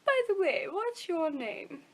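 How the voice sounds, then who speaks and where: A woman's voice: extremely high pitch, big pitch swings, slow, voice pushed up, exaggerated voice. A woman reading a storybook aloud and voicing a sly, charming male wolf who sweet-talks and gloats. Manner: sweet-talking, smug.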